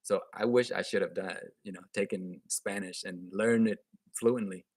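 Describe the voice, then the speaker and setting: A man's voice: low voice, fairly even intonation, normal speed, breathy edge, no room sound. A man in his thirties, a native Vietnamese speaker with a slight accent, hosting a casual live stream from a room at home into a webcam and answering viewer comments in an upbeat, chatty way with frequent restarts. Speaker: gardening channel host.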